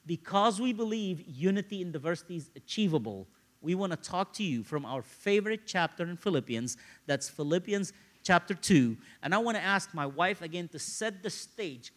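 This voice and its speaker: A male preacher delivers a sermon full of personal stories. His voice is high and bright, swinging widely in pitch. He talks conversationally and repeats phrases to drive a point home. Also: lively intonation, normal speed. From Egypt, grew up in Cairo.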